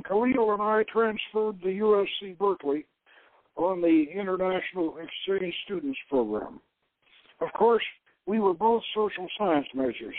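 A man acts out an intellectual, romantic young woman recounting her life. The voice sits high and speaks in a measured, reflective way, as in an audio novel. It comes dry through a tinny, narrow phone-like line. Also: gravelly, no room sound, exaggerated voice. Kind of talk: dramatic reading of an adventure novel.

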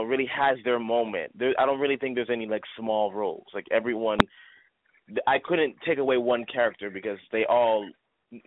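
A man's voice: on the low side, no room sound. A man speaking as a stage actor in a conversational interview. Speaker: actor, lead of a stage play. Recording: thin phone-line sound.

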